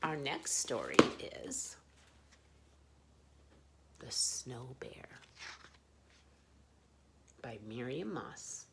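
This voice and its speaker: A woman telling children's stories in an expressive read-aloud style. Her voice is low with a creaky edge, and she takes her time over the phrases. Faint noise sits behind her. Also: very slow, pauses often. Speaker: children's storyteller.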